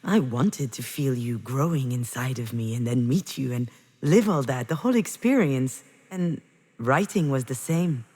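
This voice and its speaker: A woman's voice: rumbling low, expressive, unhurried, dark tone, breathy edge, full character voice. A woman playing a damaged, grieving mother in a narrative video game, confiding and hesitant as she reflects. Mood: wistful, pained.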